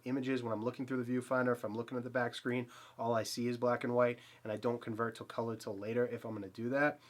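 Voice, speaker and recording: A man in his thirties with a Boston accent, talking casually and eagerly to camera about a portrait lens in a small dim home studio, rambling with fillers and restarts. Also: mid-range voice. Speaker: photography channel host. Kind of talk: casual gear review to camera.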